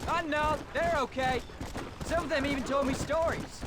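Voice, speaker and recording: A man's voice: very high voice, fairly even intonation, moderate pace. A young male actor playing a polite, curious teenage rancher's son in a Western video game, with an American accent. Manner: polite, hesitant, inquisitive. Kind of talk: video game cutscene acting. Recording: outdoor noise of horses and wagon.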